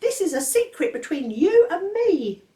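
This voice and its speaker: A woman's voice: very high voice, very animated, moderate pace, mellow, far from the mic, voice pushed up. A woman voicing a stern, precocious talking baby boy who lectures his sister. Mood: bossy. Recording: roomy echo.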